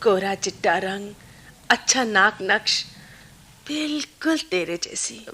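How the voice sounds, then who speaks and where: A woman's voice: mid-range voice, expressive, takes it slowly, pushed and bright, breathy edge, exaggerated voice. A woman actor playing an anxious, lovelorn young woman confiding in her friend in a Hindi radio play, with an Indian accent. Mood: worried, confiding. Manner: fretful, hesitant, confiding.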